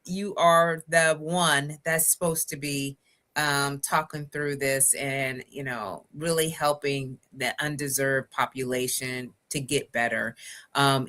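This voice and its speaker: Low, bright-voiced woman host. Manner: affirming, reads viewer comments aloud. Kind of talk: live-stream talk show hosting.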